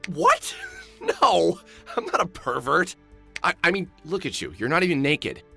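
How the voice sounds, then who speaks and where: A man's voice: on the high side, huge pitch swings, unhurried, gravelly, sharp tone, slightly rough, heavily acted. A man voicing a wry, protective middle-aged adventure game hero who often mutters expressively to himself. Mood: startled, awkward. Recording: background music under the voice.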